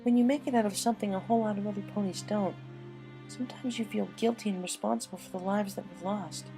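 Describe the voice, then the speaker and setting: A woman's voice: mid-range voice, steady tone, fast, over music, pitched above the performer's own voice, lightly acted. A woman voicing a bookish young female cartoon pony who comforts and reasons in an earnest, soothing way. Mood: thoughtful.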